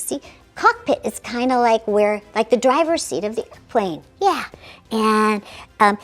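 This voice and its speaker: Female children's book reader, theatrical and playful, wide swooping intonation, unhurried, music bed. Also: a little high. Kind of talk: animated read-aloud with playful asides.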